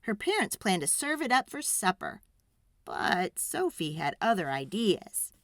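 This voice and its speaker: A woman reading a children's storybook aloud in a dramatic, expressive and deliberate narration. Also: mid-range voice, no echo, clean studio sound.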